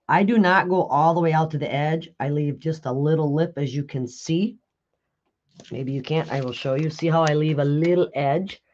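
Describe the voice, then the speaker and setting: A raspy, hoarse woman hosting a live craft tutorial, chatting with viewers and answering their comments while she works. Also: low-pitched, a little mellow, lo-fi sound. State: hoarse after illness. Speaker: craft video host. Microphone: overhead camera on a craft table.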